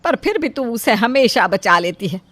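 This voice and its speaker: A woman's voice: on the high side, sing-song, moderate pace, a bit bright, a little hiss or hum. A woman playing a scolding, bossy mother-in-law in a cartoon story. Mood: annoyed. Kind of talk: Hindi cartoon story voice acting.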